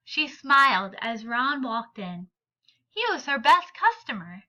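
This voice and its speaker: A woman reading a children's picture book aloud in an expressive, deliberate and emphatic way. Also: high voice, dull audio. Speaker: read-aloud storyteller.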